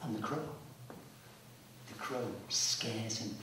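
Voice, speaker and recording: Echoey male storyteller, measured and deliberate. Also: mid-range voice, sharp tone. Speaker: narrator. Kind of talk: scripted dramatic narration, read live. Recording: distant, very echoey hall, clear noise.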